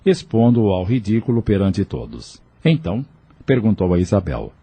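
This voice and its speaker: A male narrator reads a scripted audio novel dramatically. His voice is husky and warm-dark, with very lively intonation that carries the story. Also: fairly low pitch, normal speed.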